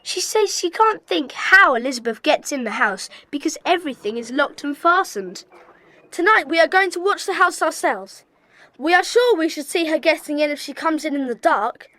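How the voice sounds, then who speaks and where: A woman playing a decisive, bossy schoolboy who leads child detectives in a children's audio drama, brisk and commanding. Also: sits high, animated, pushed and bright, no echo. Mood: earnest.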